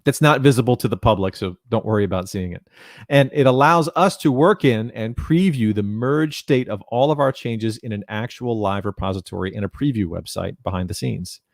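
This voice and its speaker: A man's voice: on the low side, a little fast, creaky, dry recording. Man presenting, dry close sound. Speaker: presenter, documentation content developer. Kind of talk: technical presentation, explanatory. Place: small indoor room, home video call. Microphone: headset.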